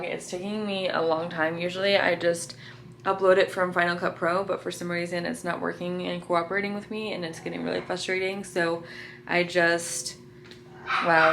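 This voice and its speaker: A woman in her twenties, vlogging casually with a raspy morning voice and lots of filler words. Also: fairly low pitch, fairly bright tone, slight background noise. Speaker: lifestyle vlogger. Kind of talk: casual vlog chat to camera.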